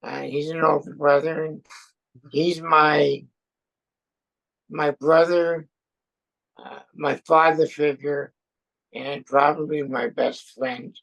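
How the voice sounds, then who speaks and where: An older man in his seventies with a gravelly, slightly high voice. He talks very slowly and haltingly, pausing often and filling gaps as he tells his story in a relaxed chat. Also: highs cut off. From born in Austria, raised in Brooklyn and France. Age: 70s. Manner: halting, hesitant, many pauses and fillers. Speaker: interview guest, Air Force veteran. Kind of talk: casual chat.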